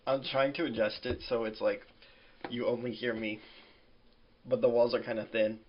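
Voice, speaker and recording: A man's voice: medium pitch, steady tone, moderate pace, dull audio, slight background noise. A man co-hosting a podcast from home, talking in casual chat. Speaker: podcast co-host, fandub crew member. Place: at home, thin-walled room.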